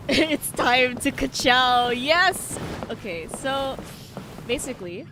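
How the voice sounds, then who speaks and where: A woman's voice: high-pitched, animated, takes it slowly, noisy background. A woman streaming a video game, chatting casually and slangily with her viewers and laughing often between sentences. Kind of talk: casual live-stream chat.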